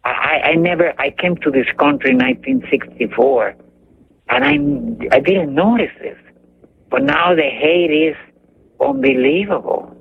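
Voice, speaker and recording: An older caller with a Cuban accent, speaking in a halting, emphatic way as he hunts for his words. His voice is gravelly and swings up and down a lot. It comes through a tinny phone line with a faint hiss. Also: a little high, sing-song. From Cuba. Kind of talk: call-in radio chat.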